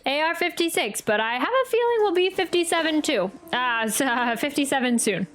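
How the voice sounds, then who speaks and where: Playful, higher-pitched woman game streamer. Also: very animated, brisk, a bit bright, faint music behind. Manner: playful, jokey, chatty. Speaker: game streamer reacting to a playthrough. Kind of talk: casual gameplay commentary.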